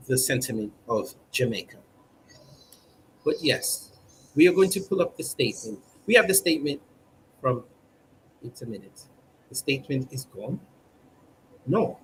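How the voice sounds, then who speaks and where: A man's voice: on the high side, fairly bright tone, a little hiss or hum, low-quality recording. A man hosting a comedic mock newscast in a stilted, formal newsreader manner, drawing out his words. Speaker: mock news presenter on a livestream.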